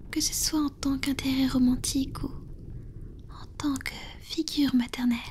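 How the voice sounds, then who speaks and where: A woman voicing a tender, affectionate female vampire in a soft, breathy, intimate whisper for an ASMR roleplay. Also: high voice. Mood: tender, confiding.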